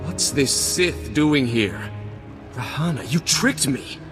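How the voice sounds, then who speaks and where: A man's voice: medium pitch, lively intonation, slow pace. A man playing a stern, conflicted middle-aged Jedi knight in a video game, speaking in a solemn, formal way. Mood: angry, accusing. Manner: solemn, formal, weighty delivery. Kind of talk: dramatic video game acting. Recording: long hall reverb, music behind.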